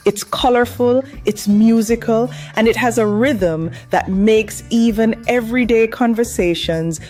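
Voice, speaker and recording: A woman's voice: on the high side, steady tone, unhurried, a little mellow, soft background music. A Jamaican woman, host of a travel channel, narrating a scripted piece in an upbeat, rhythmic way as she lists what her island offers. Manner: upbeat, rhythmic listing delivery.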